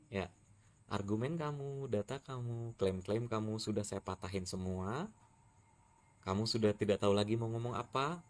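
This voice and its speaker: A man from West Sumatra firmly scolding and challenging a critic in a heated monologue. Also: fairly low pitch. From West Sumatra, Indonesia. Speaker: TikTok creator answering a critic.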